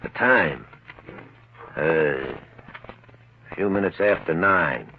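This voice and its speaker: A man's voice: low voice, animated, slow, full character voice. An actor playing a gang boss's loyal henchman, placating and slangy, in an old-time radio crime drama. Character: gangster boss's loyal, reassuring male henchman. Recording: muffled, faintly noisy old radio recording.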